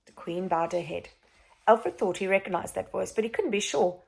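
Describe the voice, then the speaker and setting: A woman reading a children's book aloud as an expressive story narrator. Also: mid-range voice, moderate pace, vocal fry, breathy edge.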